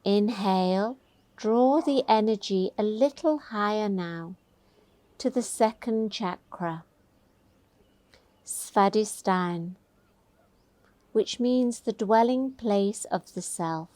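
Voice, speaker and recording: Woman, meditation teacher, very slow pace, soothing gentle instruction, dry close sound. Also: mid-range voice, dry recording. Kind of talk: guided meditation.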